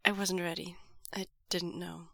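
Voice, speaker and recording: A woman's voice: fairly low pitch, level tone, unhurried, vocal fry, fairly bright tone, no echo, exaggerated voice. A woman voicing an emotional, guilt-ridden young man who sounds tearful, near sobbing and pleading. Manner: pleading and raised.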